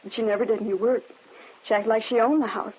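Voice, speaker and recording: High-voiced, troubled young woman with a cough. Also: steady tone, fast talker, husky. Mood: weary, frank. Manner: earnest, uneasy. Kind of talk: 1950s radio drama acting. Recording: muffled old radio recording, faint noise.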